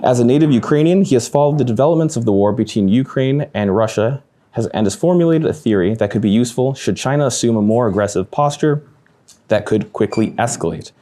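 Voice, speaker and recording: A man's voice: medium pitch, expressive, a little fast, dark tone. A man hosting a lecture, reading announcements and a speaker introduction, stumbling and correcting himself now and then. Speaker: event host. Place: lecture room.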